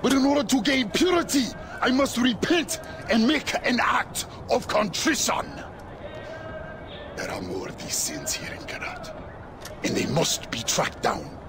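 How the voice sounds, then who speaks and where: A zealous game preacher. His voice rides high and swings dramatically, with slow, weighty phrasing, as he declaims like a sermon. Clear outdoor noise sits behind him. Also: full character voice. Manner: fervent, grandiose, quoting scripture like a sermon. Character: zealous preacher, former arms dealer haunted by sin. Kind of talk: theatrical video game cutscene preaching.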